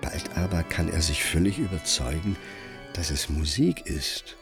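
An actor playing a grave, brooding narrator of a Gothic tale in a radio play, measured and solemn with dramatic emphasis. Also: male voice, deep voice, expressive, vocal fry, exaggerated voice. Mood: solemn, suspenseful. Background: music.

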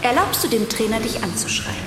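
A woman's voice: fairly high pitch, big pitch swings, a little fast, background noise. Probing woman journalist in an echoey hall. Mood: insistent. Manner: pointed short questions. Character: probing, intrusive female journalist, insistent and sensual. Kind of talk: radio drama acting.

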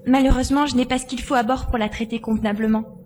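A woman playing a spirited, capable girl pilot in an audio drama, brisk and teasing. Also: on the high side, steady tone, a little mellow, slight background noise, natural voice. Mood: brisk, practical. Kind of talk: acted audio drama dialogue.

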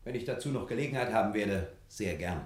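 An actor playing a brisk, exasperated detective in a 1960 German radio crime drama, speaking in an emphatic, clipped way. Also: male voice, fairly low pitch, animated, lightly acted. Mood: resigned, emphatic.

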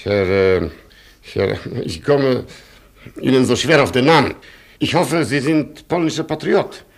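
An aristocratic colonel in a radio play, pompous and unbending. His mid-pitched voice is rough and husky, his phrases clipped and condescending. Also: unhurried. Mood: imperious. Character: haughty, stubborn Polish cavalry colonel, aristocratic man. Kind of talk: German radio play acting.

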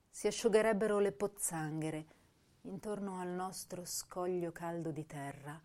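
A woman playing a young, intense, melancholy female poet, reciting literary verse and prose in a measured, solemn way with pauses between phrases. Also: fairly low pitch, dark tone. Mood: melancholy, grave. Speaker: actress reciting poetry and prose.

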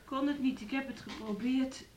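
A woman's voice: high voice, normal speed, darkish tone, natural voice. An actress playing a sick, weak, submissive woman in a radio play, pleading feebly with her lover. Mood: apologetic, defensive. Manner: feeble, pleading, speaks of herself in the third person. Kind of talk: Dutch radio play acting.

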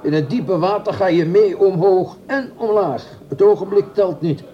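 A man acting in a radio play as a smooth, scheming gentleman doctor who speaks formally and courteously, with sly pauses. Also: sits high, highs cut off, lightly acted. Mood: philosophizing.

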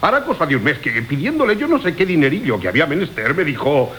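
Wily braggart, declamatory period radio farce, mock-polite, highly animated delivery, faint tape hiss. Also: male voice, fast. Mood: indignant boasting. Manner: florid, mock-courteous, declamatory. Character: boastful, wily swaggering rogue of old Seville. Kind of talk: theatrical radio play acting of classical comedy. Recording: hissy old radio recording.

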